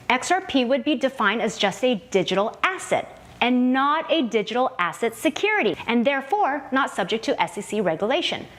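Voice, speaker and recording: A woman reading scripted explanatory narration. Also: fairly high pitch.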